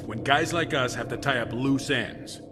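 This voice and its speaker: An actor playing a ruthless, cynical criminal in a video game, taunting and condescending. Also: male voice, mid-range voice, normal speed, natural voice. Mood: smug, callous. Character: smug, ruthless older male criminal condescending to a youngster. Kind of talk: scripted game dialogue. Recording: long reverb, music behind.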